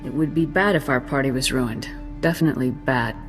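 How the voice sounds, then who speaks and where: A confident female trooper in a video game cutscene. She speaks fast and firmly, with a no-nonsense directness. Music plays clearly in the background. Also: extremely low pitch. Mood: dry confidence. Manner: brisk, firm, direct. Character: confident, no-nonsense female soldier, young officer.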